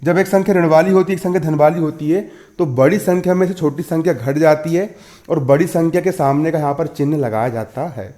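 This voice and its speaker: A man in his twenties with a fairly high voice gives a school maths lesson. He explains each step, repeats key phrases, and keeps posing questions that he answers himself, checking that pupils follow. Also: brisk, mellow. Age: 20s. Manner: repetitive, asks and answers own questions, checks understanding. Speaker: maths teacher.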